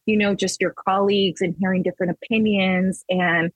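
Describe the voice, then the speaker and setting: A woman's voice: medium pitch, steady tone, normal speed, husky, dry recording, poor audio. A woman in her thirties, raised in Las Vegas, talking casually as an interview guest, wandering through her thoughts with restarts. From Texas, raised in Las Vegas. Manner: rambling, with many restarts and fillers. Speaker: guest artist.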